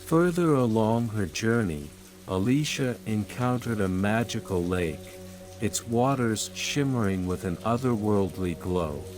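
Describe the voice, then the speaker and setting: A man narrating a scripted bedtime fairytale in a measured, soothing manner. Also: on the low side. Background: rain and calm music.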